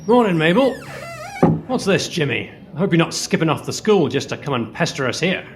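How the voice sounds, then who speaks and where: A man's voice: a little high, sing-song, fairly quick, over loud music. An amateur actor with a Scottish accent playing a nagging butcher father in a radio play, grumbling and lecturing. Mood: suspicious. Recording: faintly noisy remote home recording.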